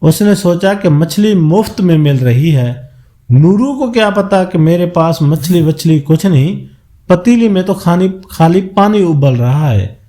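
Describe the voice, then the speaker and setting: A man's voice: high voice, expressive, unhurried, dark tone. A man narrating a scripted moral folk tale as a storyteller.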